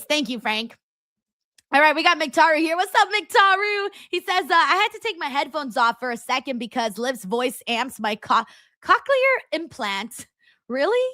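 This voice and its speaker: A woman's voice: on the high side, sharp tone, no echo, studio quality. A woman hosting a live stream, chatting casually to her viewers and reading out their comments, laughing often and using lots of filler words. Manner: talks straight to her viewers. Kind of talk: casual watchalong chat.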